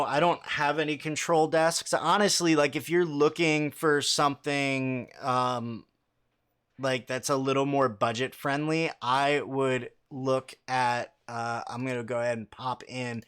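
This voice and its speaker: Man, low bassy voice, casual livestream host, halting speech with fillers. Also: fairly bright tone, smooth. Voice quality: fairly low voice with a lot of bass. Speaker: livestream host answering chat questions.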